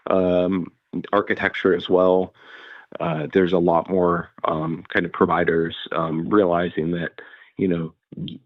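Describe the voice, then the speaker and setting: A man giving casual solo commentary on tech as a podcast host, talking in a halting, rambling way. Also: sits low, no room sound. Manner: halting, hedging, rambling delivery. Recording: narrow phone-like sound.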